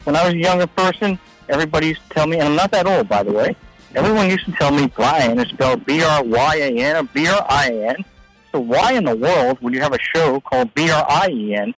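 A man's voice: fairly high pitch, animated, quick pace, a bit bright, soft background music, rough recording. A middle-aged man hosting a business radio show, joking and teasing his co-host in playful banter. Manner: teasing, self-mocking.

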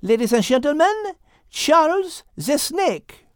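Woman voicing a dainty, high-pitched animal hostess. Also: big pitch swings, slow, no room sound, studio quality, exaggerated voice. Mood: gracious showmanship. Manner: theatrical, gracious. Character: dainty, excitable French female animal hostess.

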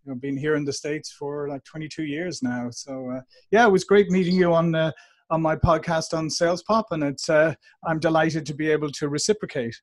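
A man in his forties with a Dublin Irish accent, chatting as a podcast guest over a remote call, animated and often restarting his sentences. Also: on the high side, a little fast. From Ireland. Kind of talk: conversational interview.

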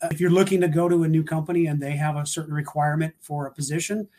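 Guy chatting casual about work, kinda higher voice, thinks out loud. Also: steady tone, rapid-fire. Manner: thoughtful, hesitant with restarts. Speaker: guest, security practitioner. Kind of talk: casual panel talk.

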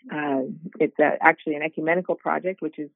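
A woman's voice: low-pitched, quick pace, vocal fry, no room sound. A middle-aged woman talks about her path in a loose, easygoing way, full of small fillers. Her voice comes through a thin, tinny phone line, dry and close. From South Dakota, USA. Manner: relaxed, rambling storytelling with many fillers. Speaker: guest, ministry worker. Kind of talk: casual interview over the phone.

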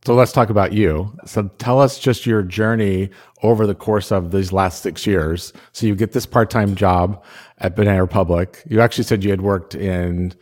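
A man hosting an interview, asking steady, encouraging questions. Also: low-pitched, normal speed. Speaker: podcast host.

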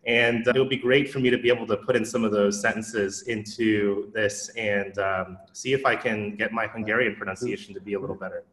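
A man hosting a casual tech podcast interview, relaxed and enthusiastic, often saying "you know". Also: fairly low pitch, brisk, few pauses. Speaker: podcast host. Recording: roomy echo.

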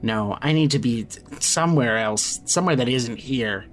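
An actor playing a drunk, blunt, foul-mouthed young man who insistently and gruffly looks out for his friend in an audio drama. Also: medium pitch, expressive, normal speed, lightly acted. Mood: agitated. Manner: slangy, insistent, gruffly caring. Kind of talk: audio drama acting, naturalistic.

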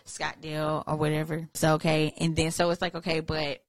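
Low-voiced woman host with an Atlanta accent. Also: completely flat delivery, fairly quick, dry recording. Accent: Atlanta, Southern US. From Atlanta area, Georgia, USA. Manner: informal, slangy, familiar. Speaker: show host, interviewer. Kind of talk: casual interview chat.

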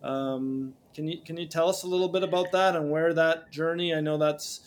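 A man's voice: on the high side, steady tone, moderate pace. A man hosting a podcast who talks in a friendly, chatty way and often stumbles and repeats words. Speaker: podcast host. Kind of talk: podcast interview.

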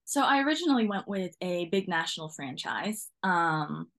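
A woman's voice: lowish voice, very animated, moderate pace, fairly bright tone. A young woman from Norway, a newly qualified driving instructor, talking casually in an interview and laughing often.

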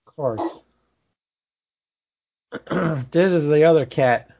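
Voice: mid-range voice, very slow. A speaker teasing friends in casual group chat. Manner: gravelly, teasing. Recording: dull lo-fi sound.

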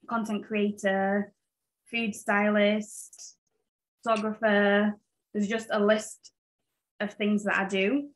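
Young woman podcast host, late twenties, from Zambia and England, flat delivery, loose chatty manner. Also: mid-range voice, poor audio. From Zambia, raised in England. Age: 20s. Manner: chatty, rambling, with fillers. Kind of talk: casual solo podcast chat.

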